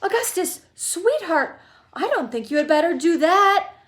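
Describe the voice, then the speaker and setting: A woman's voice: extremely high pitch, lively intonation, measured pace, a bit bright, voice pushed up, full character voice. A woman reading a storybook aloud, voicing a bossy, hysterical mother who shrieks and scolds. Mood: scolding alarm. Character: shrill, hysterical, bossy mother of a greedy boy.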